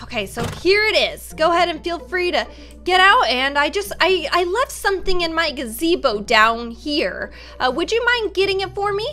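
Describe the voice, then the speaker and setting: A young woman hosting a game roleplay, bubbly and excitable as she plots. Her voice is very high and bright, bouncing up and down constantly. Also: normal speed, faint music behind. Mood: scheming. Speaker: gaming channel host. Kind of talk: game roleplay and video outro.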